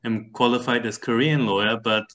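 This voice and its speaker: A man's voice: mid-range voice, steady tone, a little fast, fairly bright tone. A man lawyer whose first language is German, speaking English with a slight German accent and a modest manner. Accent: German-tinged English. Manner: modest, laughs lightly. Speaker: lawyer, panelist. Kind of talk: casual self-introduction. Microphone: video call.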